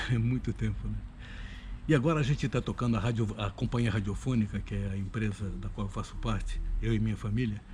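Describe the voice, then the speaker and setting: A man's voice: fairly low pitch, steady tone, a little fast, a little mellow. An older Brazilian man, a veteran voice actor, talking in an interview. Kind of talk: interview talk. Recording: faint ambient noise.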